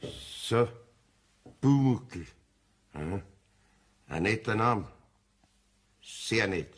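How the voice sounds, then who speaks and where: An actor playing a reserved, puzzled master wood turner in a children's radio play, guarded and halting, politely sceptical. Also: male voice, lowish voice, lively intonation, breathy edge, heavily acted. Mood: puzzled, wary.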